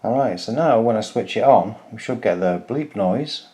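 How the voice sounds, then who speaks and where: A man with a fairly low voice and a soft, dark, relaxed tone. He narrates a step-by-step DIY tutorial casually, in an informal and plain-spoken way. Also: normal speed, slight background noise. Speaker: DIY electronics video host.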